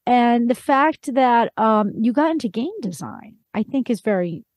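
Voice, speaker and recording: A woman's voice: a little high, lively intonation, measured pace, a little mellow, dry recording, clean studio sound. A woman, middle-aged, hosting a podcast interview in a conversational, affirming way.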